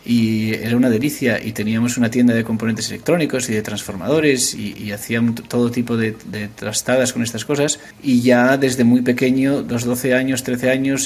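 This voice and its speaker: A man's voice: medium pitch, a little mellow. A man in his forties from Spain with an Asturian accent, a company founder talking casually in an interview, often hesitating and restarting. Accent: Spanish, Asturian. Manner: hesitant, many restarts and fillers.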